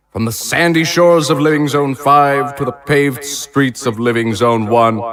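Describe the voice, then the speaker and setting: An actor playing a proud, militaristic consul, delivering theatrical political oratory in a declamatory, stately way. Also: male voice, mid-range voice, close to an everyday voice. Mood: proud, saluting. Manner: declamatory, rhetorical, stately emphasis. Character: proud, militaristic male consul rallying his troops, grandiose and defiant.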